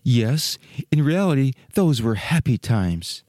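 A man's voice: mid-range voice, sing-song, slow pace, a little mellow, dry recording, studio quality. A man voicing a naive, vain and wistful young fir tree in a children's storybook, musing aloud. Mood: nostalgic. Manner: musing aloud in rhetorical questions. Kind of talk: dramatized children's storybook reading.

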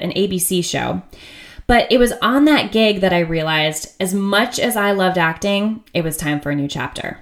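A woman in her thirties from the United States hosting her own podcast, talking in a chatty, upbeat and candid way with frequent laughs. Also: medium pitch. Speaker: podcast host. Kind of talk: casual solo podcast monologue.

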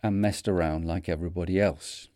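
Mancunian man, mellow measured narration. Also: low voice, steady tone, no echo, clean studio sound. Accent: Manchester. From North of England. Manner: measured, earnest storytelling. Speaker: podcast host. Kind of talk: scripted reflective narration.

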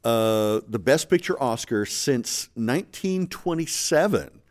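A man of middle pitch hosts a casual film podcast. He wanders through film history, pausing on "uh" and restarting his sentences. His voice sits in an ordinary register, neither high nor low. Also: expressive, a little mellow. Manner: hesitant, many fillers and restarts, talks at length about film history. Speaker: podcast host.

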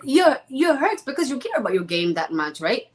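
A young woman from Zimbabwe, a guest in an interview, talking in a lively, chatty way with many restarts. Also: high voice, a little fast. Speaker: interview guest, former reality show contestant. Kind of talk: casual chat.

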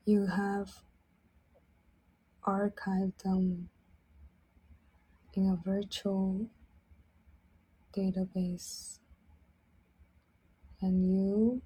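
A woman guides a sleep meditation in a soft, very breathy voice that often slips toward a whisper. She speaks extremely slowly, leaving long pauses between short, gentle phrases. Her tone is dark and mellow, soothing throughout. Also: mid-range voice, rough recording. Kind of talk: guided sleep meditation, slow instructional narration.

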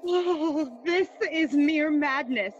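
A woman's voice: sits high, takes it slowly. A woman playing a sorrowful, pleading queen and mother in a bilingual Shakespeare audio drama, tender, mixing Spanish and English. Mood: alarmed pleading. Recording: slightly dull sound with faint music. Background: faint music.

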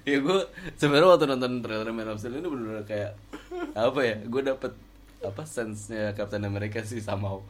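A man co-hosting a casual podcast about films, relaxed and hesitant, often trailing off with fillers. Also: fairly low pitch, brisk. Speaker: podcast co-host. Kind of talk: casual film chat.

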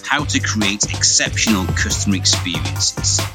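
A man's voice: lowish voice, animated, moderate pace, music in the background. A man hosting a solo podcast, giving emphatic, upbeat coaching talk. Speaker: podcast host.

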